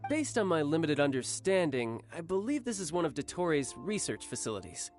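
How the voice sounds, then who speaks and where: Smooth teasing young fighter, big brother type, lively lilting delivery, soft music behind. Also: male voice, on the high side, moderate pace. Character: cocky young male warrior, doting older brother, playful. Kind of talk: game cutscene voice acting.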